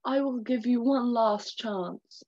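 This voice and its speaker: A woman voicing a gentle young female caterpillar who reproaches her love for breaking promises. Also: on the high side, slow. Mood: reproachful. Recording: dull lo-fi recording.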